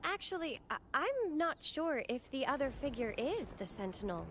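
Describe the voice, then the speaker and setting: A woman voicing an earnest, hesitant young priestess in a video game, speaking gently. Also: high voice, sing-song, normal speed, slight background noise. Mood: thoughtful, unsure. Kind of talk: video game character dialogue.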